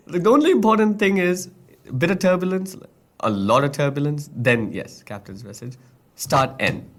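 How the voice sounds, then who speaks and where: Playful young man hosting a podcast. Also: on the high side. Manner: playful, laughs often. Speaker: podcast host. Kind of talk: casual podcast chat.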